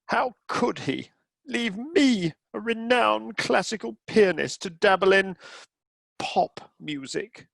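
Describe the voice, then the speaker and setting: A man's voice: high voice, lively intonation, takes it slowly, gravelly, a bit bright, heavily acted. A man playing a camp, wounded and snobbish classical pianist in a comedy radio play. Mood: wounded, dramatic.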